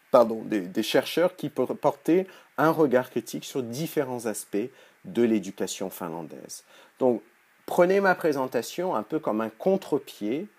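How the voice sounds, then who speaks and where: A man with flu and a hoarse voice giving a recorded conference talk as a professor, hesitant, with many fillers and restarts in a critical lecturing manner. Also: fairly low pitch, darkish tone. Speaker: university professor.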